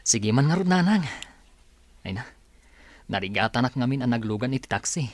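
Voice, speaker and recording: A man's voice: mid-range voice, animated, a little fast, dry recording, natural voice. A man with an Ilocano accent acting a humble, grateful, tender young man in an audio drama, polite and earnest. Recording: faint background noise.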